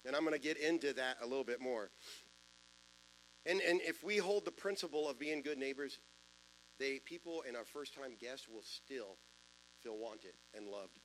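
A man in his fifties with a deep voice, preaching a sermon in a church in a conversational way, with self-deprecating jokes and asides. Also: moderate pace, fairly bright tone, a little hiss or hum, poor audio. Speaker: pastor. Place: church sanctuary.

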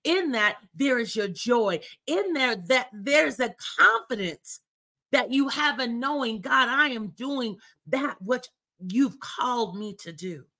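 A woman in her fifties with a bright, fairly high voice, talking earnestly about faith and calling. She sounds encouraging and often restarts her phrases. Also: animated, slow pace. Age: 50s. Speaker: therapist, co-host. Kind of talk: faith talk conversation.

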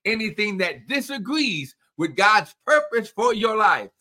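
A man's voice: sits high, very animated, unhurried, bright, forceful tone. A man co-hosting a faith talk show, speaking in an emphatic preacherly way and calling out to listeners. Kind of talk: preaching conversation.

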